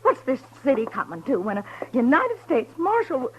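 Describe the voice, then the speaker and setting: A shrill, scandalized small-town gossip huffs her outrage in a 1950s radio western. Her very high voice swoops up and down, and a faint hiss runs under the old broadcast. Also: big pitch swings, moderate pace, dull audio. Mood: indignant. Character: prim gossiping older townswoman, indignant.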